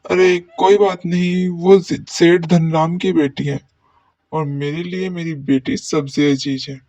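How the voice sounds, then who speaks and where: High-pitched man as a doting cartoon father. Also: fairly even intonation, slow, darkish tone, no room sound, poor audio. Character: doting, middle-aged rich merchant father, indulgent and decisive. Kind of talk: cartoon dialogue.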